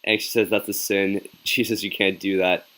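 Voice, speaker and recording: A young man runs a casual live stream, reading out viewer comments and hyping his chat with a steady stream of "yo". His voice is bright and forceful, pitched slightly low. He keeps a loose, friendly, talkative energy throughout. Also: moderate pace. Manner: upbeat and chatty, says "yo" a lot, hypes up viewers. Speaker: live-stream host reacting to a hockey game.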